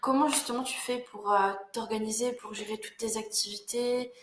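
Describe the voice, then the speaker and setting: A woman's voice: a little high, steady tone, normal speed. A woman interviewing a guest, asking friendly informal questions. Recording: echoey room, faint noise.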